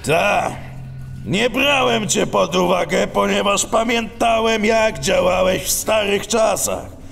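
An actor playing a stern, weary animal police chief in a children's audio drama, speaking in a grudging, terse way. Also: male voice, high-pitched, slow pace, gravelly, a bit bright, heavily acted. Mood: weary, reproachful. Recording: long cavernous reverb, music behind.

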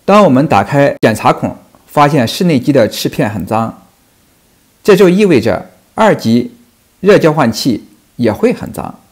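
A man's voice: mid-range voice, sing-song, normal speed. A man narrating a technical lesson on furnace repair in a plain, explanatory way. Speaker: HVAC technician. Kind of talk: instructional voice-over narration.

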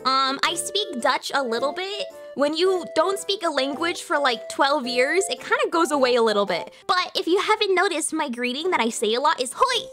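Woman, twenties, high-pitched, bright, playful, attention-seeking, faint music. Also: animated, moderate pace, few pauses. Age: 20s. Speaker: streamer. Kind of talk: casual debut stream self-introduction.

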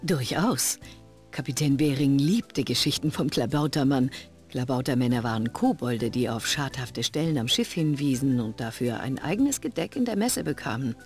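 A woman's voice: very deep voice, expressive, normal speed, a little mellow, faint music behind. An actress voicing a polite, matter-of-fact woman receptionist in a video game, speaking formally and with composure. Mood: composed, informative. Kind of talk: video game character dialogue.

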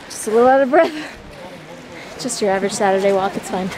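A woman's voice: mid-range voice, fast, music in the background, low-quality recording. A young woman chatting and laughing as she films herself on a foggy hike, talking to friends off camera. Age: young adult. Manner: chatty, giggly, self-narrating. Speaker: vlogger. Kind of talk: casual travel vlog. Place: outdoors on a foggy mountain hike, later a pub and car. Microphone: handheld camera held at arm's length.

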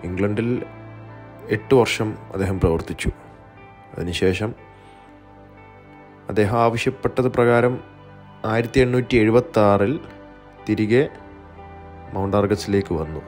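A man narrates a documentary in a fairly low voice. His delivery is measured and explanatory. Music plays clearly behind him throughout. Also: a little mellow. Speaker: video narrator. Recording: music bed, some dull echoey passages.

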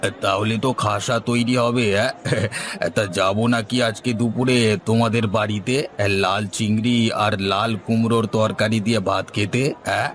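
A man's voice: lowish voice, little pitch movement, moderate pace, a bit bright, close to an everyday voice. A man voicing a friendly, teasing village fish seller in a cartoon, with a jovial, avuncular way of asking things. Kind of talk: animated cartoon storytelling dialogue.

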